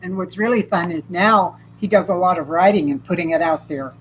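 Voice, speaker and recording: A woman hosting a casual chat, speaking with a loud, big voice while chiming in and prompting her co-host. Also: lowish voice, fairly even intonation, a little fast, a little hiss or hum, poor audio.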